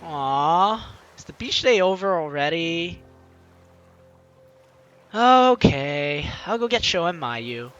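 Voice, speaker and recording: Male let's-play host, high bright voice, playful teasing commentary, reading game text aloud, music behind. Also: animated, takes it slowly, pauses often, smooth, highs cut off. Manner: playful, teasing, exclamatory, talks to the audience.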